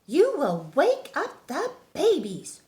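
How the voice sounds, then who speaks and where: A woman's voice: high voice, huge pitch swings, a little mellow, voice pushed up, heavily acted. An older woman voicing busy little storybook birds, rabbits and moles, shushing and brushing someone off. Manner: shushing, brisk, dismissive. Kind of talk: storybook read-aloud. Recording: echoey room.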